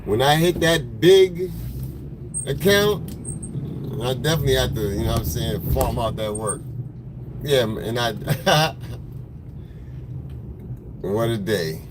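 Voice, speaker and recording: A man's voice: medium pitch, animated, slow, pauses often. A man with a smoker's voice, chatting casually with viewers on a livestream from his car in traffic. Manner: laid-back, rambling, slangy, greets viewers by name. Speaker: photographer hosting a livestream. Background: faint vehicle noise.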